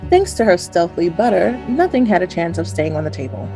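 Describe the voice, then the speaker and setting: A woman's voice: lowish voice, big pitch swings, fairly quick, warm tone, soft background music, poor audio. A woman playing a wry, gleeful narrator, telling a radio play story in florid scripted prose.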